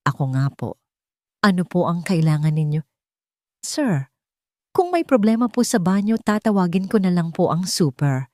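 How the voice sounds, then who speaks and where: A woman's voice: fairly low pitch, very animated, normal speed, pauses often, darkish tone, dry recording, studio quality. A woman voicing a humble, polite cleaner. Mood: polite, unsure. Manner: polite, deferential, hesitant. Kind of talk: audiobook character voice.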